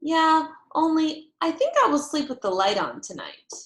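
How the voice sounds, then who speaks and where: Woman as an outspoken little first-grade girl, high-pitched. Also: huge pitch swings, normal speed, fairly bright tone, roomy sound, heavily acted. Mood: anxious, insistent. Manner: blunt, childish, emphatic phrasing. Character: outspoken, anxious first-grade girl telling her story.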